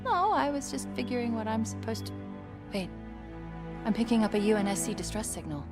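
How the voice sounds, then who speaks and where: A bright young female artificial intelligence from a video game. She is curious and eager, with lively, swooping intonation. Music plays behind her dialogue. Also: fairly high pitch. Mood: fascinated. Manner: eager, inquisitive, quick-witted. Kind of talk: video game cutscene acting.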